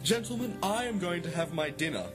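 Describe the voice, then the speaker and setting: An actor playing a smug British mastermind who taunts genteelly in a 1950s-style radio drama. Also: male voice, high-pitched, animated, fairly quick, music in the background. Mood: gleeful menace. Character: smug, mocking British male mastermind villain.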